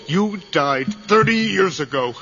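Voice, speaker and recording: A bitter, frightened man haunted by his dead twin. His voice is high and husky, anguished and halting, yet defiant. It plays like radio horror drama on a slightly dull old recording. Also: lively intonation, fairly bright tone, exaggerated voice. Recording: dull vintage radio recording, faint music.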